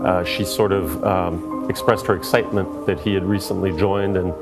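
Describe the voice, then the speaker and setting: A man's voice: low voice, flat delivery, moderate pace, vocal fry. A man recounting an anecdote hesitantly, with many "uh" and "um" fillers, in a documentary clip. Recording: documentary clip with loud music.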